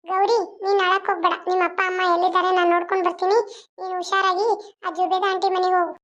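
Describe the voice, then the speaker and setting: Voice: extremely high pitch, little pitch movement, fast talker, fairly bright tone. A performer voicing a brave, protective young village man who reassures and defies. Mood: reassuring. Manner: reassuring, defiant. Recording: dull lo-fi sound.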